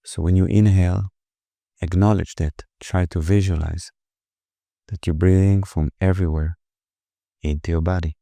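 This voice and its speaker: A man leading a guided meditation in a slow, gentle, soothing manner, with pauses between phrases. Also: low-pitched, steady tone, gravelly, dry recording.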